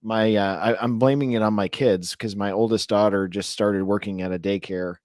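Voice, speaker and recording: A man co-hosting a casual podcast chat, hoarse with a sore throat, talking close to his mic in a rambling, chatty way. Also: on the low side, fairly even intonation, moderate pace, a little mellow, no echo. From Ohio. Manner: chatty, rambling, laughs often. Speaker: podcast co-host. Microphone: mic held very close.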